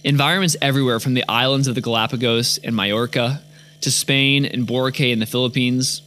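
A man narrates his podcast in a calm, measured voice at a middling pitch. Soft guitar music plays faintly underneath. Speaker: podcast host.

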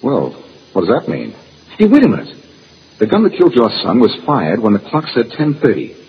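An American actor playing a brash, wisecracking detective in a 1940s radio drama, punchy and hard-boiled. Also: male voice, mid-range voice, huge pitch swings, fairly quick. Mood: excited, confident. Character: brash, wisecracking amateur detective, tough and confident. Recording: muffled, noisy old radio recording.

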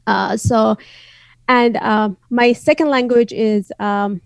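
A higher-voiced woman from Iran, Azeri by first language, talks in accented English as a podcast guest. She hesitates often, restarting with fillers. The sound is close and dry. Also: steady tone, slow, vocal fry, no echo. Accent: Iranian. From northwest Iran. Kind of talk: casual interview chat.

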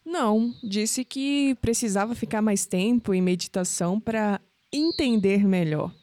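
A woman's voice: medium pitch, unhurried, mellow, dry recording, close to an everyday voice. An actress with a Brazilian accent playing an independent, skeptical, wry young woman in an audio drama. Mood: puzzled. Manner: wry, questioning.

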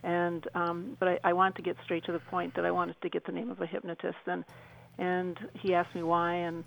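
A woman giving an earnest, halting personal account as a guest on a radio interview. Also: lowish voice, monotone, vocal fry, slight background noise, rough recording.

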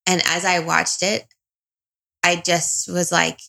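A woman's voice: on the low side, level tone, slow pace, creaky, pushed and bright. A woman in her thirties talking candidly about herself as an interview guest, in a reflective, confiding, self-questioning way. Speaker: interview guest, single parent. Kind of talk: candid personal conversation.

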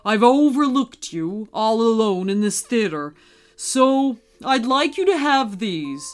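A woman voicing a kindly but stern old Santa in a children's story, measured and gently authoritative. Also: fairly high pitch, lively intonation. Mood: warm, apologetic.

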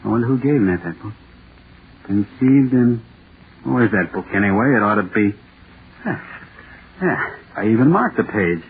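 An actor playing a haunted horror playwright who stammers and repeats himself, in 1930s radio drama style. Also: male voice, lowish voice, expressive, normal speed, long pauses, phone-quality sound. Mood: musing, self-mocking. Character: terrified radio playwright haunted by his own monster. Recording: scratchy, noisy 1930s radio recording.

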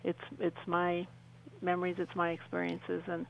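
A woman speaking as a guest on a radio show, telling her personal story in an earnest, halting way with repeated phrases. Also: lowish voice, monotone, a little hiss or hum, poor audio. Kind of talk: personal account in an interview.

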